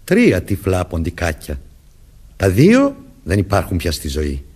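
An actor playing a stern, commanding young police detective questioning people deliberately in a Greek radio play. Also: male voice, sits low, wildly swooping pitch, darkish tone, breathy edge, exaggerated voice. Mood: grave, warning.

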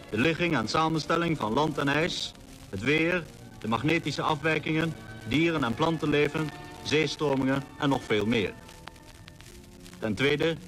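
A man's voice: a little high, a bit bright, rough recording. A man announcing and narrating a scripted 1940s radio programme, captured on an old disc recording.

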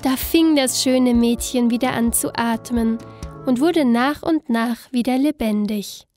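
A woman narrating a scripted fairy tale in an expressive, deliberate storytelling manner. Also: a little high, soft background music.

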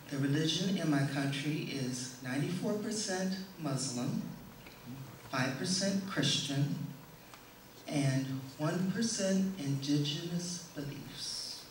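Woman, deliberate public speaker, low-pitched for a female voice, prepared testimonial, heavily reverberant room, faint noise. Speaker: speaker giving a presentation. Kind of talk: prepared testimonial speech read to an audience. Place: indoor stage in a hall, before an audience. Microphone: stand microphone, heard from a distant camera. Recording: echoey hall, distant camera, faint noise.

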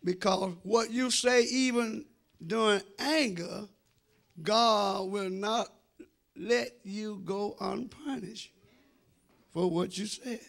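Middle-aged American male preacher, gravel in the voice, bright forceful delivery, direct address. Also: high-pitched. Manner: emphatic, drawn-out phrasing, addresses congregation directly. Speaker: senior pastor preaching. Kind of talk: sermon. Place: church sanctuary before a congregation. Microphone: handheld microphone.

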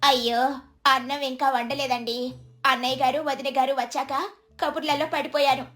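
A woman's voice: high voice, flat delivery, normal speed, fairly bright tone. A woman playing a lazy, wily village wife who fusses and chides in a moral story cartoon. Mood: chiding. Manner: chiding, fussing.

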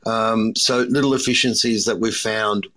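A middle-aged man from Australia, a restaurateur answering interview questions thoughtfully, with many pauses and restarts. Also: on the low side, steady tone, sharp tone. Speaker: restaurateur and chef, guest.

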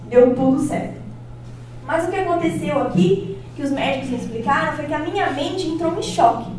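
High-voiced Brazilian woman speaker, echoey hall. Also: recorded from a distance, a little hiss or hum. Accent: Brazilian Portuguese. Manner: expressive, addresses the audience with frequent tag questions. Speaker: motivational speaker. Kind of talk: personal-story keynote talk. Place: large auditorium on stage. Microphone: handheld mic, filmed by far camera.

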